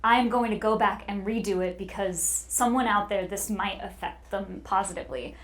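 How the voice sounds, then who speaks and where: A woman's voice: mid-range voice, normal speed. Earnest woman with a mid-range voice. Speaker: voice actor, panel guest. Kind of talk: casual panel chat.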